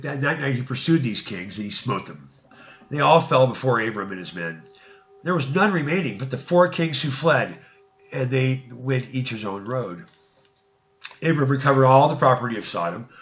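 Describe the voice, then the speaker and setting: Male narrator, mid pitch, dramatic and solemn scripture reading, emphatic with occasional stumbles, muffled lo-fi recording. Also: fairly bright tone. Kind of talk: dramatic read-aloud of ancient scripture narrative.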